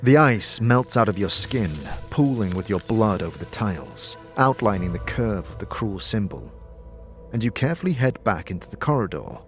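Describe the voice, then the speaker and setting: A man narrating a scripted audio drama as game master, with measured, suspenseful storytelling addressed to the listener. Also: fairly low pitch, animated, faint music behind.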